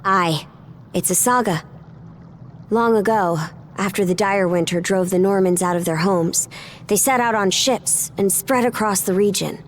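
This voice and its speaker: An actress playing a resolute young seafaring adventurer in a fantasy game, with a brisk, matter-of-fact delivery. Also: female voice, medium pitch, slight background noise, natural voice. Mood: thoughtful, explanatory. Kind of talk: video game cutscene voice acting. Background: music.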